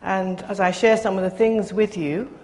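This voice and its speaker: An older woman giving a soft-spoken, reflective personal sermon to her congregation, pausing often as she speaks. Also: mid-range voice, fairly even intonation. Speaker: preacher.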